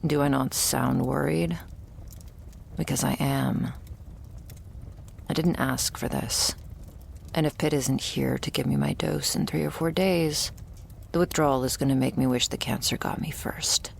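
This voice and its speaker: A woman playing a jaded, wry, terminally ill shopkeeper who serves a vampire, speaking in an intimate, deadpan ASMR roleplay monologue. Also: a little hiss or hum, full character voice. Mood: quietly anxious. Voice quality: low, husky, gravelly. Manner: deadpan, sardonic, slow and pausing, swearing casually.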